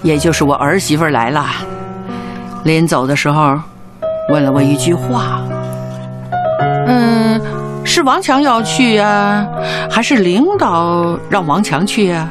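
A woman's voice: fairly low pitch, measured pace, natural voice. An actress playing a shrewd, humorous elderly mother in a Chinese radio drama, teasing and probing. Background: music.

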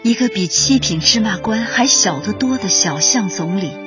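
A woman's voice: medium pitch, expressive, normal speed. A woman giving solemn, admiring, lyrical scripted narration as a drama narrator. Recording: slightly muffled, music behind.

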